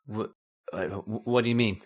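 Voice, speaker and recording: A man's voice: lowish voice, steady tone, normal speed, slightly rough. Anxious, doubting husband male actor, old-time radio horror; earnest, urgent pleading, nervous stammer. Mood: concerned. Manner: earnest, insistent, stammering when shaken. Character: Paul Seaton, anxious, sceptical husband.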